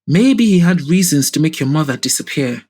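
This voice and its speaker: A woman's voice: deep voice, animated, normal speed, a little mellow, slightly rough. A woman playing an arrogant middle-aged businessman in an audio drama, pompous, mocking and self-righteous. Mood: scornful, indignant. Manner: pompous, scornful.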